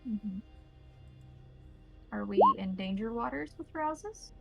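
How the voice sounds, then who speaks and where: A woman's voice: a little high, lively intonation, takes it slowly, vocal fry, over music. A woman narrating a tabletop roleplay and making rules calls as game master.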